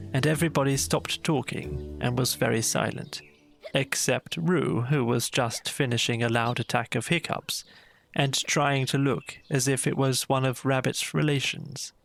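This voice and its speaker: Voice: medium pitch, steady tone, unhurried, gravelly, soft background music. A storyteller reading a scripted children's bedtime story as an audiobook narrator.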